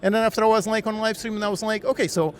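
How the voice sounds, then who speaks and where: A man's voice: sits high, steady tone, very fast. A young man chatting casually as a guest, laughing often and trailing into fillers as he thinks aloud. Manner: laughs often, hesitant fillers, quotes himself. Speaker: game dev YouTuber, guest. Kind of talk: casual conversation.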